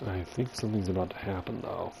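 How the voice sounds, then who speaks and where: A man's voice: low voice, fairly even intonation, quick pace, creaky, noisy background. A man doing casual playthrough commentary, reading dialogue aloud and reacting, with game ambience behind him. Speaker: gamer reading and reacting to a story.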